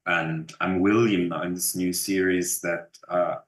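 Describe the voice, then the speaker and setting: Brief: middle-aged German-accented man, lowish voice, reflective guest with frequent restarts. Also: level tone, unhurried. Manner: hesitant, thoughtful, with many restarts. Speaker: actor guest. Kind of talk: casual interview chat. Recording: echoey room.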